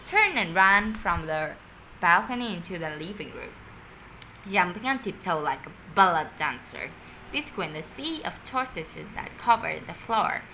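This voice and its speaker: A woman's voice: lowish voice, lively intonation, measured pace, creaky, pushed and bright, a little hiss or hum, poor audio. A woman reading a children's story aloud expressively, sometimes stumbling over her words. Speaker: storybook reader.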